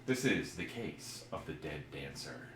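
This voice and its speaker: Guy with a real deep voice, lively up and down, hanging out on a podcast, kinda echoey. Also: unhurried. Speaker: podcast co-host. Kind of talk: casual chat and reading a mystery game aloud. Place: echoey room, live streamed podcast. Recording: roomy echo with background noise.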